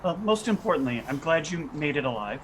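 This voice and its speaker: A man playing in a tabletop roleplaying game, improvising in a deliberate, businesslike way. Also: fairly high pitch, big pitch swings, a little hiss or hum, low-quality recording.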